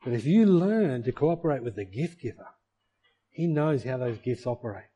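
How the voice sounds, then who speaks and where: Mellow-voiced man preaching a sermon. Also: medium pitch, expressive, moderate pace, breathy edge, lo-fi sound. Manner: earnest preaching, rhetorical questions. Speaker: pastor. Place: church.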